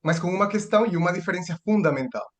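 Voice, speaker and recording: A man's voice: high-pitched, very fast. A man giving a seminar lecture, thinking aloud with restarts and rhetorical questions.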